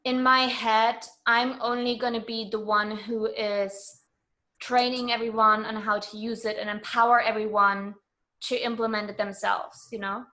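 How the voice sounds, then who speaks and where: Higher-pitched woman, frank and earnest. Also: level tone, unhurried, pushed and bright. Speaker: interview guest. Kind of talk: casual radio interview conversation.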